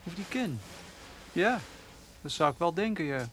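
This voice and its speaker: A man's voice: fairly high pitch, big pitch swings, measured pace, very noisy. An actor playing an awkward, hesitant young man in a radio play. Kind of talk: radio drama acting.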